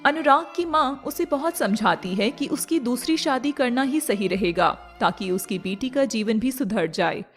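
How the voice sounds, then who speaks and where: A woman narrates a scripted moral tale. Her voice is high, and light music plays underneath. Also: animated, moderate pace, hardly any pauses. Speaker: story narrator. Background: faint music.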